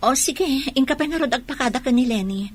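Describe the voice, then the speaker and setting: A woman's voice: sits high, lively intonation, quick pace. A woman acting a caring wife and mother in an Ilocano radio drama. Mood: calm, caring.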